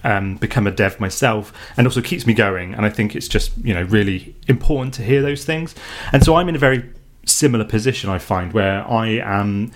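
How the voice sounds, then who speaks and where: A man's voice: lowish voice, brisk, hardly any pauses. A man chatting on a podcast in a hesitant way, with many ums and restarts. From United Kingdom. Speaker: podcast co-host. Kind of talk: casual podcast chat.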